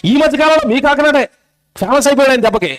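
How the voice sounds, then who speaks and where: A man's voice: extremely high pitch, very fast. A man preaching an impassioned sermon to a gathering, scolding and exhorting listeners with rhetorical questions.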